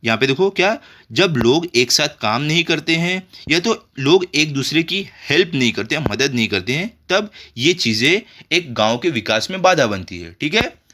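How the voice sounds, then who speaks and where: Bright-voiced Indian man tutoring children. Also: fairly high pitch, big pitch swings, moderate pace. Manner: repeats check phrases and rhetorical questions, instructive. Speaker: school tutor. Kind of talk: explanatory lesson for children, reading textbook and translating.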